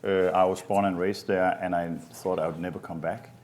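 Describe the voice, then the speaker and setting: A man's voice: deep voice, level tone, a little fast, darkish tone. A man from Denmark introducing himself on a panel, enthusiastic and often restarting his sentences. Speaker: energy academy director.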